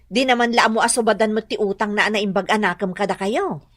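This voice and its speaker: A woman's voice: medium pitch, a little fast, a bit bright, no room sound, close to an everyday voice. An actress playing an outspoken, insistent woman in a radio drama, with an Ilocano accent. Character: outspoken, insistent woman arguing with an older mother.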